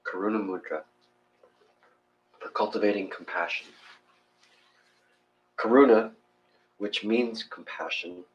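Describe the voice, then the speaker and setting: A man with a fairly low voice guides a meditation. He reads in a gentle, measured manner, giving calm instruction as he goes. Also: low-quality recording. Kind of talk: guided meditation, reading aloud from a book.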